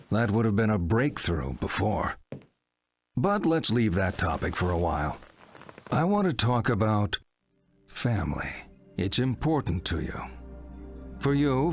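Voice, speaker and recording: Low-voiced, coaxing psychotherapist. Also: male voice. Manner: deliberate, coaxing, slightly theatrical. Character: smooth, probing middle-aged male psychotherapist. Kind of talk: dramatic video game monologue. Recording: loud music under the voice.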